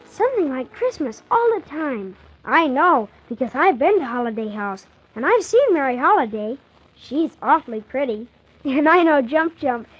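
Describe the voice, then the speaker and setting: An eager little orphan boy, tells his adventure in a high, lively voice that rises and dips with earnest wonder. It is a children's radio serial from the 1940s, muffled with a faint hiss. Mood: excited, friendly. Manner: earnest, wonder-filled, childlike storytelling with exclamations.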